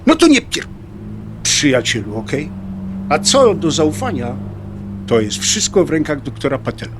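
Casting: anxious middle-aged atheist biker, blunt colloquial audio drama talk, lightish pitch, noisy vehicle backdrop. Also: male voice, huge pitch swings, moderate pace. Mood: blunt, irritated. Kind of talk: dialogue acting in an audio drama.

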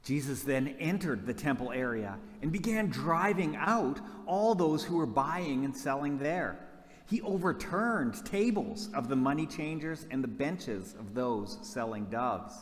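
A man's voice: mid-range voice, lively intonation, a little slow. A man narrating scripture from a script in a church readers' theatre. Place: church hall stage before seated audience. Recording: reverberant hall, noisy room ambience.

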